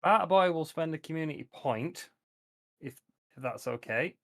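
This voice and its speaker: A man's voice: a little high, animated, moderate pace, fairly bright tone, no echo, studio quality. A man talking casually as a player, describing what his character does in a roleplaying game.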